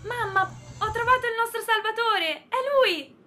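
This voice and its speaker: A woman's voice: very high voice, unhurried, sharp tone, heavily acted. A woman playing an earnest, hopeful, studious young girl in a children's cartoon, speaking emphatically. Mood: excited.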